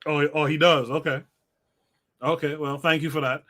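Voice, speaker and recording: A man hosting a podcast livestream, reading out viewer comments and calling on guests in a relaxed way. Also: fairly high pitch, normal speed. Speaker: podcast host. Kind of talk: moderating a livestream discussion.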